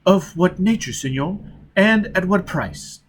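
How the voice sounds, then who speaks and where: A man's voice: high voice, unhurried, voice pushed up. A man voicing a fat, sly, wheezy peddler, obsequious and haggling as in an audiobook. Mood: calm, wheedling. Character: fat, greasy, sly peddler posing as Italian cook. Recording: steady background noise.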